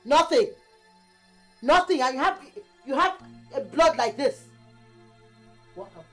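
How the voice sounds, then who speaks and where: An actress with a Nigerian accent playing a hot-tempered mother who scolds loudly in rapid outbursts. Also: sits high, big pitch swings, slightly rough, soft background music, full character voice. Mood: scolding.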